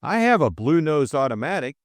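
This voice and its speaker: Audiobook role: man plays breezy young gentleman adventurer, careless, gently proud, lifted and very lively pitch. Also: a little fast, no room sound, studio quality. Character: Jimmy Thesiger, pleasant breezy young English gentleman adventurer. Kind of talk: audiobook character dialogue.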